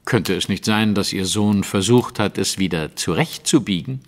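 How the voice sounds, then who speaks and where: An actor playing a composed, analytical detective in an audio drama, measured, articulate and probing. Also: male voice, lowish voice, close to an everyday voice. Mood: sceptical. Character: composed, analytical male detective, sceptical and precise. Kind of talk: German audio drama acting.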